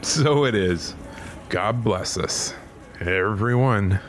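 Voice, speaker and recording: Wry, low-voiced weary cop. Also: male voice, expressive, slow pace. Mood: friendly, tired. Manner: wry, sardonic. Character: weary, cynical male New York police officer. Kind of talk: audio drama acting.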